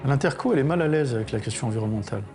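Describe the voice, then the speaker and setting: A man speaks at medium pitch in a soft-edged, mellow tone. He is measured and reflective, like a documentary interviewee. Light music sits behind the voice. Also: lively intonation. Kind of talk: interview commentary in a documentary film.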